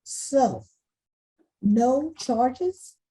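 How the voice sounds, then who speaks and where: A woman playing a frustrated, bewildered school principal with halting delivery in a screenplay read-through. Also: high voice, animated, dark tone, full character voice, rough recording. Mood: tentative, guarded. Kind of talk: screenplay table read acting. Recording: husky-sounding remote video call.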